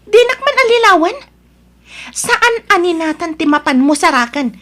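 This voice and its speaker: A demanding, jealous wife in an Ilocano audio drama. Her high voice swoops up and down as she confronts and accuses, suspicious of every answer. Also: big pitch swings, normal speed, a bit bright, full character voice. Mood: accusing, jealous. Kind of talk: Ilocano radio-style family drama acting.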